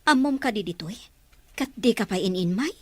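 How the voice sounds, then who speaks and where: A woman's voice: mid-range voice, lively intonation, moderate pace, no echo, close to an everyday voice. A woman with an Ilocano Filipino accent playing an anxious, loving middle-aged mother in an audio drama. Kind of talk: radio-drama dialogue.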